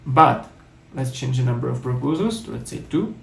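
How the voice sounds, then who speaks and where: A man with a Czech accent talks through a coding demo at a conference, methodical and explanatory, circling back to restate points. His mid-range voice has a gravelly, creaky texture. The sound is roomy, with noticeable echo around him. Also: medium pitch, lively intonation, normal speed, fairly bright tone. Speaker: conference speaker. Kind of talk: technical coding talk with live demo.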